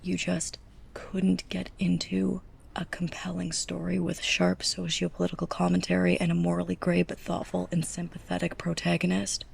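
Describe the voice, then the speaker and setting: A young woman playing a sarcastic, scolding, snobbish librarian's assistant in a close-up ASMR roleplay, breathy and often near a whisper. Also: lowish voice, a little mellow, heavily acted. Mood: incredulous, sarcastic. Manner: sarcastic, exasperated, pointed delivery.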